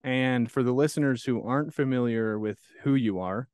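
A man's voice: medium pitch, normal speed, a little mellow, clear, resonant tone, no echo. A man in his forties hosting a podcast interview, giving short, friendly prompts from a small sound-treated booth on a studio microphone. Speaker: podcast host. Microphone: studio mic with headphones.

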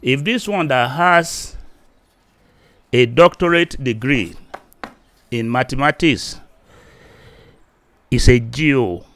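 A man in his fifties with a Nigerian Yoruba accent, giving a solo teaching video into a close desk microphone, slow and halting with many fillers, growing louder and more forceful as he goes on. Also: medium pitch, expressive, dry recording. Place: small indoor room.

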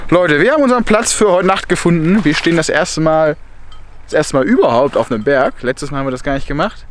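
A young man vlogging a road trip, casual and colloquial, his voice sitting a little high. He talks to the camera from the driver's seat and from outdoor spots. Steady outdoor and traffic noise runs behind him. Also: quick pace. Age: young adult. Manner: relaxed, chatty, colloquial. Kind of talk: casual travel vlog talk. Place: driving a car, then outdoors on a mountain and at a rest stop.

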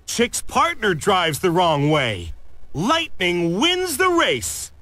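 A man reading a scripted read-along story for young children, expressively and with deliberate, clear enunciation. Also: sits high, huge pitch swings, bright, forceful tone, no echo. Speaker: storybook narrator.

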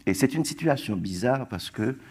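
A man's voice: mid-range voice, expressive, moderate pace, darkish tone, a little hiss or hum. A man in his sixties from Haiti, whose first language is Creole, speaking passionately as a poet on a round-table panel on a theatre stage. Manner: impassioned, gesturing, building to emphatic peaks.

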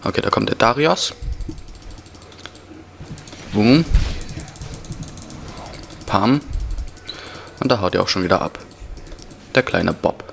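A man's voice: fairly low pitch, animated, slow, gravelly, pauses often, fairly bright tone. A young man with a cold, casually commentating his gameplay in slang, muttering to himself. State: has a cough and a cold. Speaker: let's player. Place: indoors at a computer, voice over gameplay. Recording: noisy home recording, keyboard typing.

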